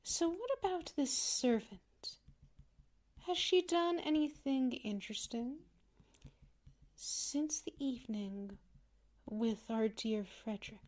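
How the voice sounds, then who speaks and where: A woman's voice: high voice, slow, pauses often, a little mellow. A woman playing a gracious, ambitious, secretive duchess in an ASMR roleplay, with a refined, courtly way of speaking. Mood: curious, amused.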